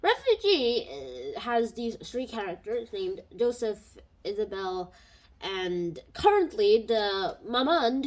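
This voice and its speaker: Chatty woman with a lively, rambling voice. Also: fairly high pitch, poor audio. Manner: rambling, thinks aloud with fillers and restarts. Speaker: book reviewer. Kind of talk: casual spoken book review. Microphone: home microphone.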